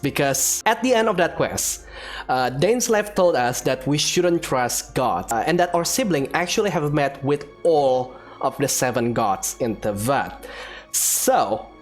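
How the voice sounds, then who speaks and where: Casual male gamer commentating over music. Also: medium pitch. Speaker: gaming streamer. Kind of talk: casual let's play commentary.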